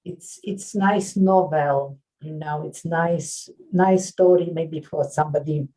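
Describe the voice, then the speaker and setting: A Lithuanian woman who works as a nurse and writes books, answering interview questions in halting English with frequent pauses and fillers. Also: low voice, fairly even intonation, dark tone, far from the mic, low-quality recording. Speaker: author and nurse.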